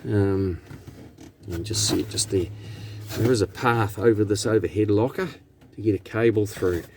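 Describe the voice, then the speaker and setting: A man's voice: on the low side, normal speed. A man with a New Zealand accent giving a casual, matter-of-fact hands-on walkthrough as a technician. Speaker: radio technician narrating own repair video. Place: in and around a truck cab. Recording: clear background noise, vehicle ambience.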